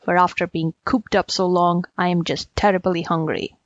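A woman's voice: on the low side, flat delivery, moderate pace, dry recording, dull audio, pitched below the performer's own voice, lightly acted. A woman voicing a hungry, impatient male tiger in a storybook reading, blunt, commanding and scornful. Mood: hungry, menacing.

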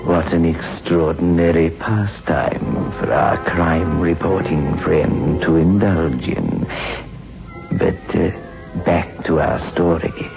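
An actor playing a sardonic, sinister storyteller who hosts a 1960s radio horror show in a mock-genteel way, savouring the macabre. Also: male voice, low-pitched, slow, vocal fry, exaggerated voice. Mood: macabre amusement. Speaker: host. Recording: dull vintage radio recording, faint music.

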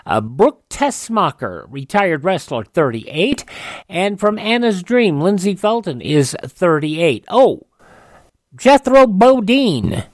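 Male radio host, slightly high voice, announcer-style almanac read, stresses names, close and dry studio mic. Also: big pitch swings, slow pace, no echo. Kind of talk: scripted radio almanac narration.

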